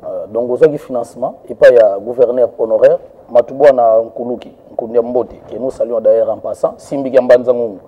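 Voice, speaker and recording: Husky, dark-voiced male interviewer. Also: medium pitch, fairly even intonation, brisk, few pauses, poor audio. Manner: hesitant, with frequent fillers. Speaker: journalist interviewer. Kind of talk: interview questions.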